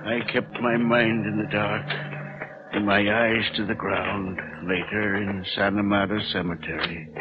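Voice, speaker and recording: A man's voice: lowish voice, level tone, measured pace, phone-quality sound, music in the background, natural voice. An actor playing a brooding, guilt-haunted old railway man in 1940s radio horror, on a noisy old recording.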